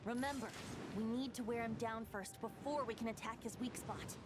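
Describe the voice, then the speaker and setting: A woman's voice: fairly high pitch, fairly even intonation, normal speed, heavy background noise, close to an everyday voice. A woman voicing a youthful boyish bard in a video game, poetic and resolute, with a light theatrical delivery. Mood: spirited. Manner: theatrical, lyrical. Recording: game audio with music underneath.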